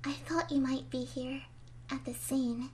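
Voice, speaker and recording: A timid, gentle young woman from a video game, played by a woman, speaks worriedly in a high voice. There is faint noise in the recording. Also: little pitch movement, unhurried. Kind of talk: fan dub of a visual-novel video game.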